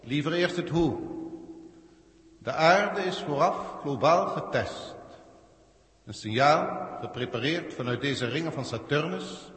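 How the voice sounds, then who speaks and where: An actor playing a cold, haughty alien proctor in a 1960s science-fiction radio drama, speaking formally and condescendingly. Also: male voice, on the high side, animated, a little slow, a bit bright. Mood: cold, superior. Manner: formal, pompous, condescending, archaic wording. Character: haughty alien proctor, cold galactic official testing Earth. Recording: echoey hall-like reverb, 1960s radio.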